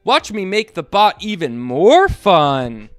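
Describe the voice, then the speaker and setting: A man's voice: high-pitched, huge pitch swings, slow, a bit bright, clean tone, no echo, soft background music, exaggerated voice. A man doing an eager young superhero leader.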